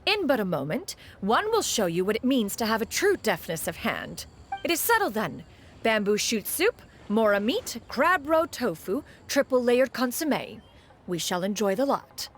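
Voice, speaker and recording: A woman's voice: fairly high pitch, big pitch swings, normal speed, a little hiss or hum. A woman voicing an ancient crane spirit in the form of a stately old woman, speaking in a formal, archaic, haughty way.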